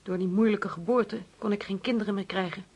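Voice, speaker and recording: A middle-aged mother in a radio drama, grieving and bitter yet submissive. Her voice is husky and slightly breathy. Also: mid-range voice, steady tone, fairly quick, a little mellow, dull audio. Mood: bitter grief. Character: bereaved, bitter mother, obedient to her husband.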